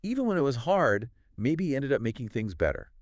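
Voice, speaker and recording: A man co-hosting a casual discussion podcast, retelling a story in an animated way with easy fillers. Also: mid-range voice, fairly quick, no room sound, clean studio sound. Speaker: podcast co-host.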